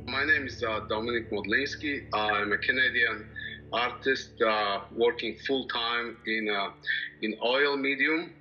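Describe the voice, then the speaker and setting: A middle-aged man from Poland with a Polish accent, a native Polish speaker, reflecting haltingly on his work as a painter. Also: medium pitch, pushed and bright, dull audio, soft background music. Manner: halting, searching for words. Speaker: landscape painter, interviewee. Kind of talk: reflective personal account of working methods.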